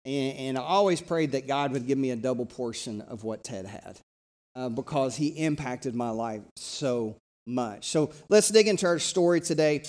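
A middle-aged man from East Tennessee, preaching a live church sermon in a conversational, energetic way that invites the congregation to talk back. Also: medium pitch, normal speed. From East Tennessee, USA. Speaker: pastor.

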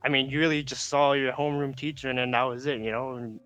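A man's voice: medium pitch, little pitch movement, fast talker, a bit bright, smooth, dry recording. A man in his late teens from Canada, chatting as a podcast guest in rambling slang full of "like" and "bro". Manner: laid-back, rambling slang with many fillers. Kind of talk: casual chat.

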